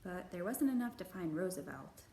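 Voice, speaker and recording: A woman hosting a children's storytime, reading and speaking to young listeners with slow, deliberate, expressive phrasing. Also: on the low side, vocal fry. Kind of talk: picture book read-aloud for young children. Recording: roomy echo, faint background noise.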